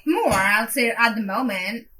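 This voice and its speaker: A woman in her thirties from Pakistan talks frankly and easily as a podcast co-host, sometimes pausing to find her words. Her voice is bright and sits a bit high. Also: lively intonation. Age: 30s. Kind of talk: casual podcast chat.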